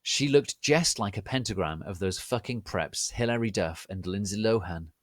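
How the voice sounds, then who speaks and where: A man giving a theatrical, deliberate, mock-serious dramatic reading of fan fiction. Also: on the low side, lively intonation, dry recording, studio quality. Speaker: reader of online fan fiction.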